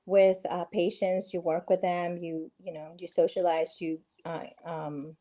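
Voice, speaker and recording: A friendly middle-aged woman from Peru, speaking as a guest over a video call. Her voice sits low and mellow, and she often pauses on fillers and starts her sentences over. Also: little pitch movement. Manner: friendly, many fillers and restarts. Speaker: guest, real estate agent. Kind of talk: casual interview conversation.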